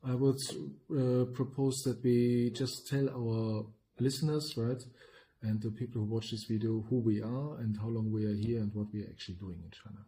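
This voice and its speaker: A middle-aged man with a German accent, co-hosting a podcast and explaining business topics in a measured way, often checking in with "right?". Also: mid-range voice, fairly even intonation, mellow, slight background noise, rough recording. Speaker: podcast co-host, business consultant.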